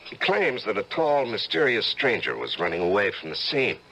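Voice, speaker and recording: An actor playing a brooding, tormented writer and husband who may be a killer, in theatrical old-time radio drama style. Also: male voice, on the low side, wildly swooping pitch, moderate pace, bright, forceful tone, heavily acted, poor audio. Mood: darkly taunting.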